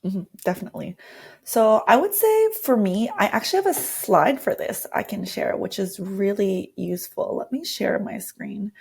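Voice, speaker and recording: A woman's voice: medium pitch, sing-song, normal speed, vocal fry, a little mellow, husky. A woman with a mid-range voice talks as a guest in an interview. She explains her working process conversationally, with an enthusiastic manner. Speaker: interview guest, design lead.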